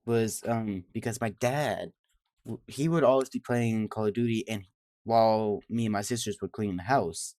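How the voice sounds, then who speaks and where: A man's voice: lowish voice, moderate pace, darkish tone, dry recording. A man from Georgia, co-hosting a podcast and asking questions in casual chat. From Georgia, United States.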